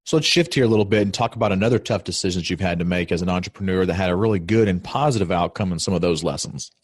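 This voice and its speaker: A man hosting a podcast, asking questions in a brisk conversational way. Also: sits low, slightly rough. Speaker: podcast host. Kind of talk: interview questions.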